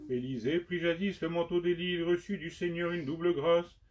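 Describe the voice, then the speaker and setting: A man giving a slow, solemn liturgical recitation of penitential prayers in measured, prayerful phrases. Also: fairly high pitch, fairly even intonation, a little mellow, faint music behind. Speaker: liturgical reader. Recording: dull sound from far off the mic.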